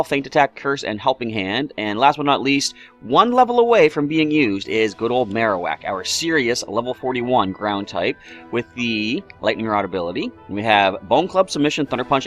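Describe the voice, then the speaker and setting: A man hosting a game playthrough in a chatty, rambling way, his voice slightly raspy and broken by throat-clearing. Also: medium pitch, a bit bright. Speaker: let's play host. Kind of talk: casual game commentary.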